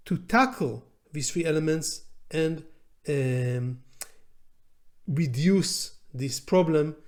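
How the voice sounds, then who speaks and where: A middle-aged male law professor, a native Hebrew speaker, talks through an idea in a thoughtful lecture-style monologue. His voice sits a little high for a man but has a soft, mellow tone. He speaks deliberately, stopping to search for words with frequent ums and uhs. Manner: deliberate and measured, with pauses and filler sounds. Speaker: law professor hosting an educational channel. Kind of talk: reflective educational monologue.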